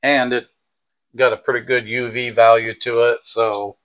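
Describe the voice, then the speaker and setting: Man, sixties, vlog co-host with a mid-pitched voice, chatting casually and giving tips indoors. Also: flat delivery, moderate pace, dull audio. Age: 60s. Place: indoors in a small home kitchen and bedroom. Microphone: clip-on lapel mic.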